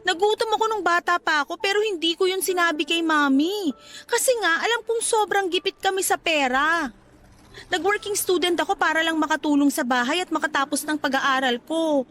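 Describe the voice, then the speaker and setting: A woman's voice: very high voice, brisk, fairly bright tone, soft background music. An actress playing an anxious, ambitious young woman pleading earnestly with her boyfriend in a radio drama. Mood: earnest, anguished. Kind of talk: Tagalog radio drama acting.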